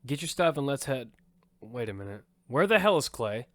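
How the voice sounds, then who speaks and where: A man's voice: medium pitch, sing-song, normal speed, no echo, clean studio sound, lightly acted. A man voicing Damian, a young battle survivor, narrating the story in first person in an earnest, matter-of-fact way. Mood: steady. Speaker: narrator and lead character. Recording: loud music score behind.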